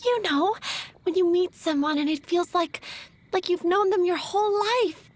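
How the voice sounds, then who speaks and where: A woman's voice: very high voice, moderate pace, slight background noise. A woman voicing a dreamy, lovestruck young florist in a video game who talks in a wistful, flustered way.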